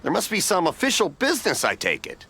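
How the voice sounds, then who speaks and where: An actor playing a friendly, generous middle-aged stallkeeper in a video game, with a hearty, folksy manner. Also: male voice, high voice, wildly swooping pitch, quick pace, creaky, bright, forceful tone, slight background noise, heavily acted. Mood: jovial, admiring. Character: friendly, generous older festival stallkeeper, man.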